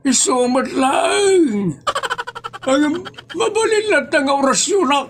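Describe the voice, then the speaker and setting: High, bombastic old village healer. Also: male voice, animated, slow, noisy background, heavily acted. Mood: theatrical chanting. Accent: Ilocano. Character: quack village faith healer chanting spells, old man, bombastic. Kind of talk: comedy audio drama acting.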